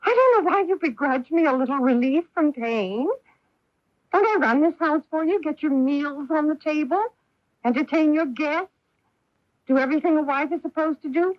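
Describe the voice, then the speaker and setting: An actress playing a nervous, defensive middle-aged wife who secretly tipples, in a radio drama. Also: female voice, high voice, normal speed, dry recording, close to an everyday voice. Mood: aggrieved. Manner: aggrieved, evasive, fretful. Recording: muffled early-1980s AM radio broadcast.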